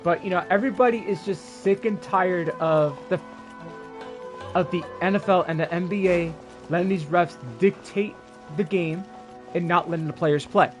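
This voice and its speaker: A man's voice: high voice, moderate pace. A man giving casual live-stream commentary as a game streamer, rambling and informal. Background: game music.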